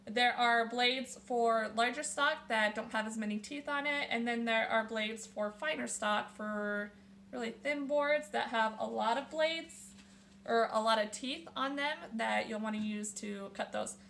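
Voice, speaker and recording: A woman's voice: fairly high pitch, measured pace, gravelly, fairly bright tone, a little hiss or hum. A young woman hosting a casual woodworking tutorial, chatting while she shows her tools in a small home workshop. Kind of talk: casual how-to demonstration. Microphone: camera on the bench, close.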